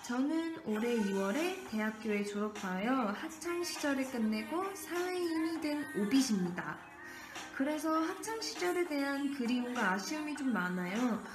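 A woman's voice: sits high, sing-song, normal speed, music in the background. A young woman co-hosting a casual radio-style show, playful and giggly, leading the segment and reading a listener's letter. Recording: echoey room with faint background noise.

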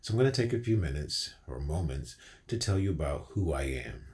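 A man giving an inspirational address as a featured storyteller, with deliberate, earnest phrasing. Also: rumbling low, big pitch swings, a little mellow. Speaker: featured storyteller and motivational speaker.

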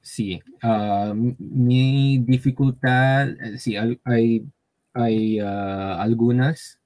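A man from Taiwan with a Taiwanese accent, a guest speaking Spanish as a learner, halting with many fillers and restarts. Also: mid-range voice, fairly even intonation, darkish tone, no room sound, poor audio. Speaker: interview guest. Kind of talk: casual conversation.

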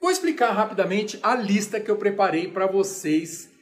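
Brazilian male lecturer, high-pitched, chatty and animated, loose explanatory style. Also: moderate pace. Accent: Brazilian Portuguese. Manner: animated, chatty, many restarts and asides. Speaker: lecturer on opera.

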